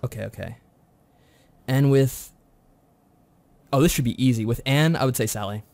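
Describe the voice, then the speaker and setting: A man's voice: mid-range voice, normal speed, gravelly, darkish tone, no room sound. A man chatting casually as a contestant in a quiz. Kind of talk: casual chat.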